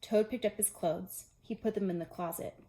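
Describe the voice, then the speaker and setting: A woman's voice: mid-range voice, moderate pace, mellow. A woman preschool teacher reading a storybook aloud to young children, addressing them directly with simple explanations.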